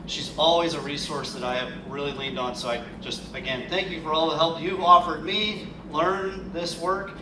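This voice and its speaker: Man emcee, hesitant delivery full of fillers, fairly high voice, distant and echoey with room noise. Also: fairly even intonation. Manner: halting, with many fillers and restarts. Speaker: event host. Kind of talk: introducing a speaker. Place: conference hall. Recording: echoey hall, distant mic, noisy.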